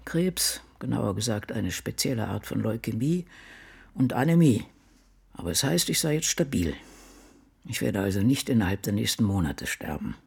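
An actress playing an ailing elderly American novelist in a radio drama, brooding and blunt, speaking in a reflective, deliberate way. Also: female voice, a bass voice, very animated, gravelly, a little mellow, husky, exaggerated voice. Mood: matter-of-fact. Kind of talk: radio drama acting, intimate monologue.

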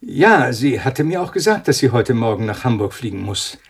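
An actor playing an uneasy, irritable scientist in a German radio thriller, speaking in a hesitant, agitated way. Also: male voice, mid-range voice, big pitch swings, normal speed, creaky. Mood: hesitant, polite. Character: uneasy, irritable male scientist living alone with budgie.

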